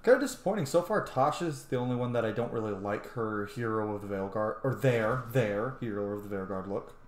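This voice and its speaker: A man's voice: fairly low pitch, animated, a little fast. A man giving casual, rambling let's-play commentary, gushing and swearing freely as he goes. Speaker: gaming streamer playing and commenting. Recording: roomy echo with faint background noise.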